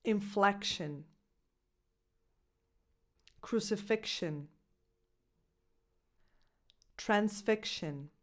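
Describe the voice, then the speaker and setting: A young woman with an Italian accent teaches English pronunciation in a video lesson. She speaks clearly and deliberately, at a middle pitch, and slows right down when she models and spells out words.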